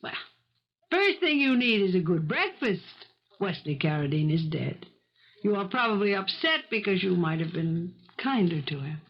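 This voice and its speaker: No-nonsense housekeeper, firm, bossy, lively pitch movement, vintage radio drama, dulled sound. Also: female voice, normal speed, heavily acted. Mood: firm, consoling. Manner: firm, crisp, lecturing. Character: brisk, bossy, no-nonsense housekeeper, steady and practical. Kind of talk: old-time radio drama acting. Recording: muffled 1970s radio broadcast.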